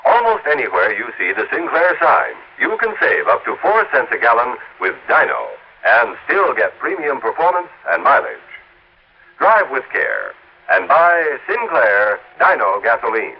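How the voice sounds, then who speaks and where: A man's voice: medium pitch, wildly swooping pitch, measured pace, gravelly, slightly rough, highs cut off. A man announcing in the scripted style of a 1950s radio broadcast, reading like a commercial and credits announcer. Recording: noisy, band-limited 1950s radio recording.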